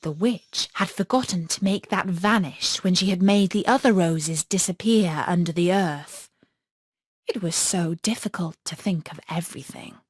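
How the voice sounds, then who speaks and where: A woman narrating a children's fairy tale as an audiobook, in an expressive storytelling manner. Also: lowish voice, moderate pace, breathy edge, dry recording.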